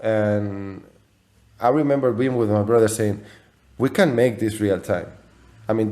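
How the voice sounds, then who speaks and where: A middle-aged man who speaks English as a second language, telling his story as an interview guest in a halting, conversational way with repeated phrases. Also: fairly low pitch, darkish tone. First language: not English. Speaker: interview guest, software company CEO.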